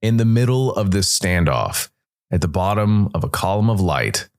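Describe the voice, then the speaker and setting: A man narrating a first-person noir story as a ghostly jazz musician investigator, with a dramatic, deliberate delivery and weighted pauses. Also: low voice, creaky. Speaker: solo storytelling podcast narrator.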